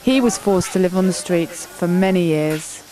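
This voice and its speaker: A woman giving scripted documentary narration in a solemn, measured way, with short deliberate phrases. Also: fairly low pitch, over music.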